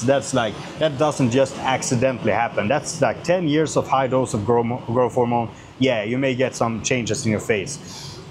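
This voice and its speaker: A man's voice: mid-range voice, darkish tone, a little hiss or hum. A man from Sweden with a Swedish accent talks casually and bluntly as a YouTuber answering questions, swearing often and repeating phrases for emphasis.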